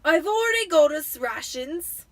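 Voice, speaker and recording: A woman voicing a blustering, gleeful, manic middle-aged uncle in a dramatic storybook reading, with loud, drawn-out exclamations. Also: sits high, animated, no echo, pitched above the performer's own voice. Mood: booming glee.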